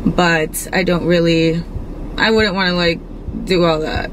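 A woman in her twenties answering questions in a casual interview, hesitant and quick to giggle. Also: on the low side, steady tone, noisy background. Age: 20s.

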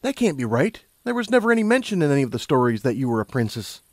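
A man's voice: medium pitch, big pitch swings, quick pace, no room sound, clean studio sound, voice pushed up. A man voicing an anxious, determined young female unicorn space pilot with an urgent, insistent manner. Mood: skeptical.

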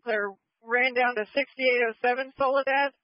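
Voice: mid-range voice, steady tone, normal speed, no room sound. A police dispatcher giving brief acknowledgements and relays over a police radio. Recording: muffled police scanner radio audio.

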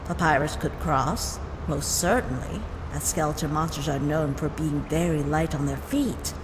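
A woman's voice: low voice, steady tone, moderate pace, in a large hall, background noise, close to an everyday voice. A woman voicing a dignified, cautious, motherly goat monster with formal phrasing in a comic dub. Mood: cautious, composed. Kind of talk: dramatic comic dub voice acting.

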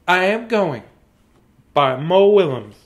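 A middle-aged man hosting a children's story time in casual, playful style. His voice is high for a man and very animated in its rise and fall. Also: takes it slowly. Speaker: children's bedtime story reader. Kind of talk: casual, playful read-aloud chat. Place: small cluttered room indoors.

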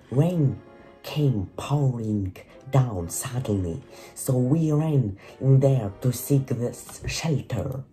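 A man voicing an excitable middle-aged father, an archaeologist in a game, with a strange put-on accent. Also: mid-range voice. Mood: excited. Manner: odd put-on foreign-sounding accent.

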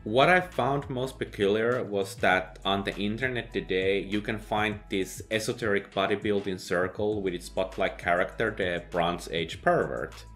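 Sarcastic man, low voice. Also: steady tone, normal speed, few pauses, fairly bright tone, faint music behind. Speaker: book reviewer. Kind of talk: sardonic video essay narration.

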